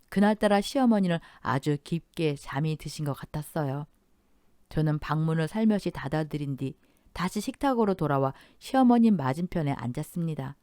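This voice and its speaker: A woman narrating a dramatized life story in a soft, measured way, like on story radio. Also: sits low, dry recording, clean studio sound.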